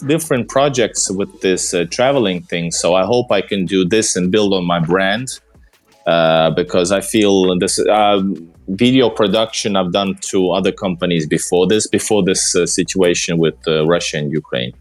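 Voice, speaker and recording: A man's voice: deep voice, moderate pace. A man from Finland, a travel vlogger talking casually and hesitantly, with many fillers and restarts. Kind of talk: casual conversation.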